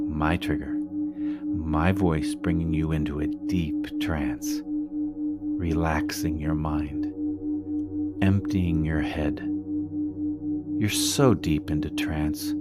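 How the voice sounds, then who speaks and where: Male hypnotist for trance audio: very slow, soothing yet commanding delivery, repetitive phrasing, over a loud music bed. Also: very deep voice, fairly even intonation. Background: loud meditative music.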